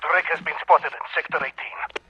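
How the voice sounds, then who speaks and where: A man's voice: fairly high pitch, steady tone, a little fast, sharp tone, highs cut off, slight background noise. Aggressive military henchman, game villain. Raised, cutting voice through radio, commanding. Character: stern, aggressive enemy soldier giving orders over radio.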